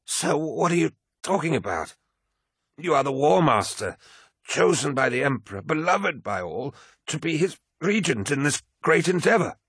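A man voicing a devoted, frail male equerry in an audiobook, raspy, reverent and formally deferential. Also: mid-range voice, expressive, moderate pace, bright, forceful tone, no echo, studio quality, voice pushed up, heavily acted. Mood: fervent praise. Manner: deferential, fervent, formal address.

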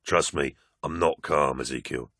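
A restrained veteran-style warrior, voiced by a male narrator, speaking low and measured. He counsels with controlled caution, in a close dry science-fiction audiobook sound. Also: pushed and bright, no echo, exaggerated voice. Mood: controlled, advising. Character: calm, methodical male warrior advising a volatile comrade.

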